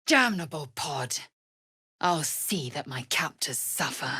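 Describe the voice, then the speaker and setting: A woman voicing a fierce, proud young githyanki warrior in a video game, blunt and disdainful, with clipped, formal, archaic phrasing and a husky, breathy voice. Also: deep voice, sing-song, slow pace, sharp tone, dry recording, clean studio sound, exaggerated voice. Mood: fierce, commanding. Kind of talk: video game character voice lines.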